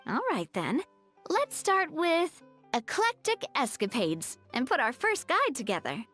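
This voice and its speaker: A woman's voice: high-pitched, wildly swooping pitch, unhurried, fairly bright tone, soft background music, heavily acted. A woman playing a cheerful, courteous young woman in a video game, explaining things in an upbeat, reassuring, articulate way.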